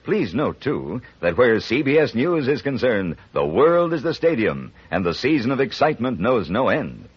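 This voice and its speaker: An American male announcer reads a vintage radio promo in a polished, emphatic way. His voice is husky with lively inflection, on a muffled old recording. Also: medium pitch, moderate pace, few pauses. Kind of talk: mid-century scripted radio promo.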